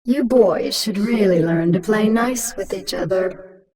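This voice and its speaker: A woman's voice: medium pitch, unhurried, recorded from a distance, over loud music, lightly acted. An actress playing a cunning, commanding infested alien queen in a video game, sardonic and self-assured. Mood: taunting.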